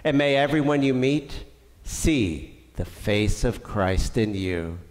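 A man's voice: medium pitch, expressive. A middle-aged man with a Canadian accent, a pastor leading prayer and giving the benediction in a small church, speaking earnestly. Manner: slow, earnest, warm pastoral delivery. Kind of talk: church service closing and benediction. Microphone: far camera. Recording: reverberant church hall.

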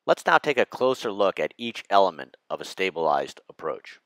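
A man, a flight instructor, narrating a lesson on approach and landing in a measured, precise way. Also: sits low, big pitch swings, fairly bright tone, no echo. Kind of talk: scripted narration over slides.

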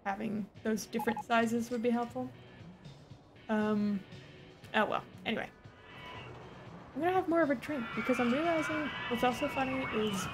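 Chatty slightly hoarse woman streamer. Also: on the high side. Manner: chatty, laughs often, swears casually. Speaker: livestreamer. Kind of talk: casual gameplay chat. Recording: noisy home stream recording.

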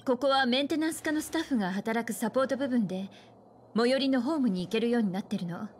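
A woman's voice: on the high side, fast, a little hiss or hum, natural voice. An actress playing a composed, assured woman who mentors a space crew in a Japanese anime game.

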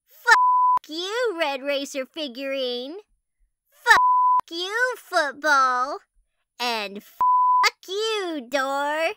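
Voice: extremely high pitch, huge pitch swings, extremely slow, long pauses, bright, forceful tone, slight background noise, exaggerated voice. A performer voicing a twitchy, nervous cartoon teen boy in a fan dub of comic strips, with yelping outbursts. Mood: mocking.

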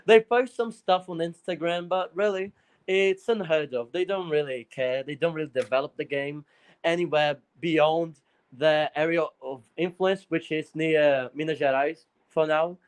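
A man's voice: a little high, rough recording. A Brazilian man, a Portuguese speaker, calling in over a video call and telling a playful story in casual chat. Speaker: caller.

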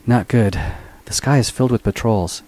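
A man voicing a sharp-tongued woman bounty hunter with a blunt, mocking manner. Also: mid-range voice, moderate pace, voice pushed up, natural voice. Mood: businesslike.

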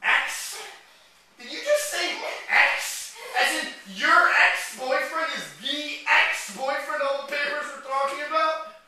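A man's voice: very high-pitched, slow pace, full character voice. A young man acting in a stage comedy as a nervous, sarcastic boyfriend on a date. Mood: panicked. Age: young adult. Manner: nervous, wry, excitable. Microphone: distant audience camera. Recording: echoey theater, far camera mic, audience.

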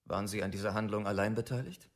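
Radio drama: businesslike male interrogator played by an actor, fairly low, terse official delivery. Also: steady tone, quick pace, natural voice. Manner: clipped, businesslike.

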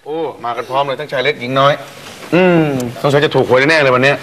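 An actor playing a bossy, short-tempered eldest brother in a sitcom, grumbling and yelling back at his siblings. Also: medium pitch, steady tone, quick pace, very noisy, natural voice. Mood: wry. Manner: grumbling, then yelling back in a comic shouting match. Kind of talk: sitcom acting.

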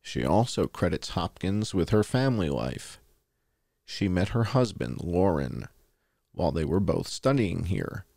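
Deep creaky-voiced man reading aloud. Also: sing-song, unhurried, warm tone, no room sound. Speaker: podcast host reading articles aloud. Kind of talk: read-aloud article narration.

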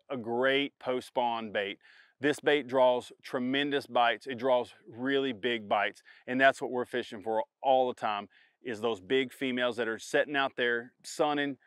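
A middle-aged man, a professional bass angler, explains his fishing setups to camera outdoors in the woods in a confident, emphatic way, stressing and repeating his key points. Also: fairly bright tone, no room sound, studio quality. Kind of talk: instructional product demo to camera. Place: outdoors in a spring woodland.

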